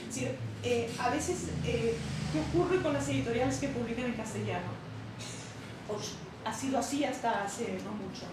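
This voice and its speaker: A woman's voice: a little high, normal speed. A woman publisher talking at a public interview, hesitant with many fillers and restarts. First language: not Catalan. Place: bookshop event room. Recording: echoey hall, distant mic, noisy.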